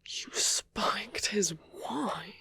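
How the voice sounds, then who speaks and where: A seductive, smug villain acted out by an ASMR performer speaks close and dry, with plenty of gravelly fry. The delivery is intimate and teasing, drifting between a breathy murmur and whispers, as in a romantic audio roleplay. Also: mid-range voice, extremely slow, sharp tone, no echo, heavily acted. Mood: amused, mocking. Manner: intimate, teasing, drawling, often dropping to whispers.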